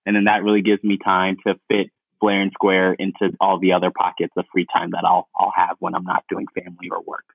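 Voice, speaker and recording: A young man from Ghana, a podcast guest, telling his story in relaxed casual talk with many fillers. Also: low voice, a little fast, creaky, hardly any pauses, no room sound. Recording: dry tinny phone-like line.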